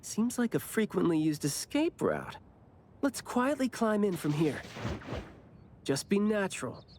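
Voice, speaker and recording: Breezy, lively slacker captain, teasing. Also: male voice, high-pitched, a little slow, a little hiss or hum. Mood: playfully smug. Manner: breezy, teasing, self-justifying. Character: laid-back, glib male captain who shirks work. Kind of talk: anime game voice acting.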